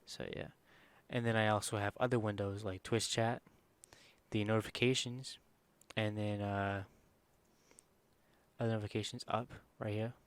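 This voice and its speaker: A man with a soft, quiet voice that sits a little low talks through a screen-recorded tutorial. He rambles informally and leans on fillers. He often stops mid-phrase to correct himself. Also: level tone, moderate pace, clear, resonant tone, no room sound. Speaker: streamer giving a software tutorial.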